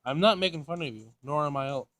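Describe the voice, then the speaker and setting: A man's voice: fairly high pitch, fast talker, a bit bright, dry recording, natural voice. A man voicing a literal-minded female android with a formal, pedantic, emotionless way of talking in a visual novel. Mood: clinical, blunt. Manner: pedantic, clinical, formal wording.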